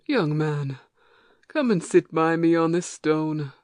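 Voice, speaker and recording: A woman playing a self-assured, hospitable male giant watchman with a hundred eyes, read in a measured, formal way for an amateur audio play. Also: low voice, lively intonation, darkish tone, no echo. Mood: friendly, curious.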